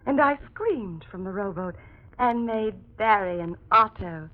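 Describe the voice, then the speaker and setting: An actress playing a vengeful murderess in a 1940s radio thriller, theatrical and coolly menacing. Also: female voice, mid-range voice, lively intonation, unhurried, lightly acted. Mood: smug, icy. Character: cold, vengeful murderess who faked being a ghost. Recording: very muffled old radio recording.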